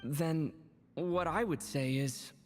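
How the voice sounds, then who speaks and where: An actor voicing a calm, kindly young traveler in a video game, relaxed and easygoing in delivery. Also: medium pitch, slow, big-room sound, music in the background, natural voice. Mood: calm, friendly.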